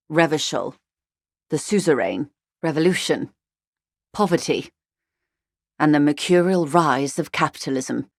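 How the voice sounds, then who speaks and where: Wealthy, weary corporate negotiator, video game role: fairly low female voice, eloquent and measured, very dry studio sound. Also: no room sound. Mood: grave, reflective. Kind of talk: literary video game dialogue acting.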